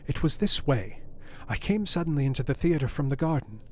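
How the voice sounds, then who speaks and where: A man voicing a frightened, loyal old male butler who pleads in rustic phrasing, raised in pitch above his own voice. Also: lively intonation, brisk.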